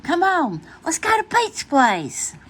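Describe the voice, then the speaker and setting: A woman's voice: extremely high pitch, wildly swooping pitch, slow, fairly bright tone, voice pushed up, heavily acted. A woman voicing a grumpy, stubborn male toad in a children's storybook, complaining as he speaks. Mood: eager. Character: grumpy male toad who refuses to sleep.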